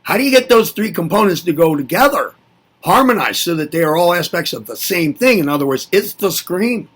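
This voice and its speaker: A man's voice: high-pitched, expressive, fairly quick, fairly bright tone, husky. A man giving a reflective spoken lesson, musing aloud and asking himself rhetorical questions before answering them. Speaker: presenter.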